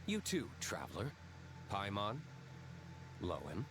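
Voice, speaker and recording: A stern young nobleman from an anime game, aloof and coolly formal. His low voice stays curt and restrained, with clear noise behind it. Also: wildly swooping pitch, slow pace, exaggerated voice. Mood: cool, measured.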